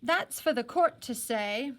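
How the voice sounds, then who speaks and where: An older colonial judge from a storybook, as a woman reader puts him on. He is formal and stern yet kindly, with an animated, fairly high delivery. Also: unhurried. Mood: sour.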